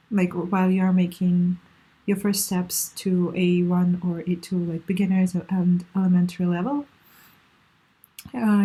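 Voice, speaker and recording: A woman's voice: medium pitch, warm tone, slightly rough, low-quality recording. A young woman with a Russian accent, giving language-learning advice in a hesitant, unscripted way with many fillers. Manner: hesitant, many ums and uhs, restarts. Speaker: language learner sharing tips.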